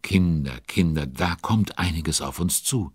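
A man's voice: sits low, unhurried, a little hiss or hum. A man narrating a children's radio play as a chatty, avuncular storyteller who comments on events with concern. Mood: involved, concerned.

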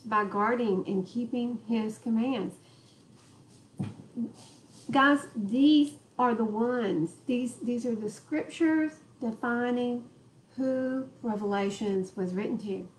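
A woman's voice: on the high side, takes it slowly, slight background noise, low-quality recording. A woman leading a home Bible study, stressing words and joking with the group, recorded by a camera in a living room. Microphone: far camera in the room.

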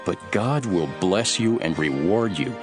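Solemn male teacher-prophet, low voice, very varied intonation, earnest preaching, scripture drama. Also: animated. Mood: earnest teaching. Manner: measured, emphatic, preaching. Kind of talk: dramatized scripture reading over music. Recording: music behind the voice.

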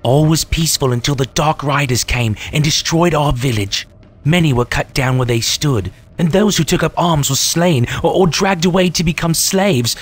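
A husky village innkeeper voiced by a man from a fantasy video game. He is kindly but troubled, and he speaks with grave drama about his world. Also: fairly high pitch, normal speed, natural voice. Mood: grim, grieving.

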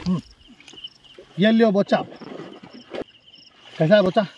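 A man, chatting casually and excitedly to viewers as an outdoor fishing vlogger. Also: sits high, slow, muffled recording. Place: outdoors on a lake bank. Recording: outdoor phone recording, faint nature noise.

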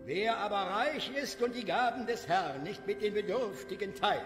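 A man's voice: sits high, animated, normal speed. A man playing a pompous, wrathful village preacher who declaims his words. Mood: solemn. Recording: reverberant church-like hall, faint music.

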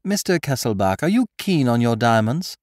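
A cocky gentleman thief, as a male narrator puts him on, brisk and mocking, pitched well above the reader's own voice and thrown loud with lively swoops. The storybook scheme is gleeful and taunting. The sound is close and dry. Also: dry recording, close to an everyday voice. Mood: scheming. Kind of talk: audiobook character voice.

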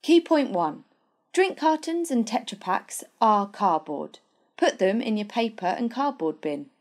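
A woman's voice: a little high, big pitch swings, a little slow. A young woman playing a sardonic narrator of a comic fairytale, wry and knowing in her scripted narration. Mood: instructive. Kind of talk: scripted storybook-style narration. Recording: music bed under voice-over.

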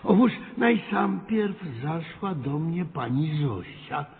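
An actor playing a stern, sly parish priest who preaches in ponderous phrases in a radio play. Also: male voice, a little high, lively intonation, takes it slowly, vocal fry, phone-quality sound, heavily acted. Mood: solemn. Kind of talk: radio drama acting. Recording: thin band-limited radio sound, reverberant, faint noise.

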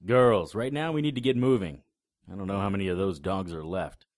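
A man's voice: low voice, fairly quick, a little mellow, lightly acted. A man acting a wry, tough private investigator with sardonic delivery in an audio drama. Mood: brisk, commanding.

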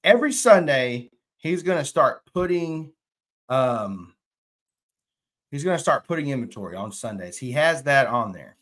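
A man's voice: medium pitch, big pitch swings, measured pace, fairly bright tone. Chatty man hosting a live stream. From Texas. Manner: chatty, drawling, reads viewer comments aloud. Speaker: fishing channel host. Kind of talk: casual live stream chat reading comments. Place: indoor room, plain wall.

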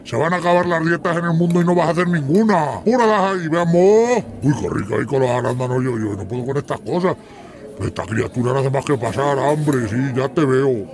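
A man's voice: medium pitch, expressive, fairly quick, a crowd in the background. A man giving joking, teasing commentary while someone eats. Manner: joking, ribbing the eater.

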